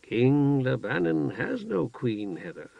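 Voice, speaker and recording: A calm, kind older wizard with a soft, plain way of talking, as a male reader voices him. His tone carries a gravelly edge. The recording is dry and dull. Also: medium pitch, slow, no echo, heavily acted. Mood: gentle. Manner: soft-spoken, warm and plain.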